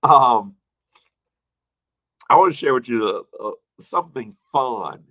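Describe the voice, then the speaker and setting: A man in his early fifties speaking as a pastor in an informal sermon, repeating phrases for emphasis and moving between loud and hushed delivery. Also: medium pitch, animated, slow, dry recording. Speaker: pastor addressing an online congregation. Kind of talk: informal sermon with personal anecdotes and replies to viewer comments.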